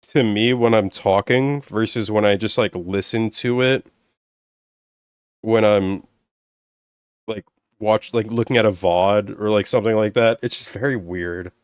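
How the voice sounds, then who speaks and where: A young man with a deeper voice chatting casually with viewers as he ends a live stream, sounding tired and strained, relaxed and rambling. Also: a little slow, clean tone, no room sound, studio quality. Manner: relaxed, rambling, self-deprecating.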